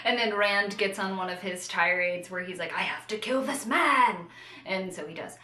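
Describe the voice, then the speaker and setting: A woman co-hosting a casual book discussion, retelling in an animated way with playful, slangy asides. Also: mid-range voice, moderate pace. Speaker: co-host of a book discussion show. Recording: roomy echo.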